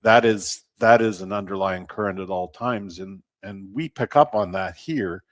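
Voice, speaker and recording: A low-voiced man gives an interview as a baseball team representative. He talks in a measured, halting way, stopping mid-thought before carrying on. The sound is close and dry. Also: no echo. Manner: measured, halting, often pausing mid-sentence. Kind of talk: unscripted sports interview talk.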